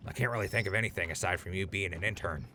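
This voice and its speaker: A man's voice: deep voice, very fast, noisy background, close to an everyday voice. A man playing a sarcastic, complaining, footsore wasteland traveler in a post-apocalyptic audio drama. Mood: wry, casual. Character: grumbling, wisecracking footsore male wasteland traveler.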